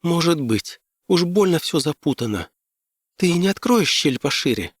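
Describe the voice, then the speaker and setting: A male narrator plays an irritable woman on a polar expedition in an audiobook story. The voice is lifted high and complains in bewildered exclamations, with a dry, close studio sound. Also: sing-song, a little slow, dry recording. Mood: irritable, uneasy.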